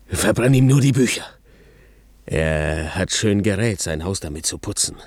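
An actor playing a brutish island monster in a classical German radio play, servile, vengeful and dreamy, with theatrical, poetic delivery. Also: male voice, lowish voice, no room sound, lightly acted.

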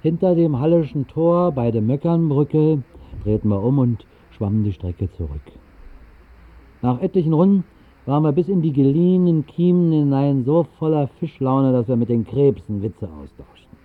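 A man's voice: medium pitch, animated, normal speed, natural voice. An actor narrating a 1960s radio drama as a whimsical bohemian drinker, telling his tale in a wry, literary way. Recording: tinny band-limited 1960s radio recording.

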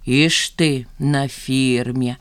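A woman voicing a sly, self-righteous old father with a slow, drawling, needling delivery in an audiobook. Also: on the low side, lively intonation, no echo, studio quality. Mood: irritated, sly. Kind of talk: audiobook character dialogue.

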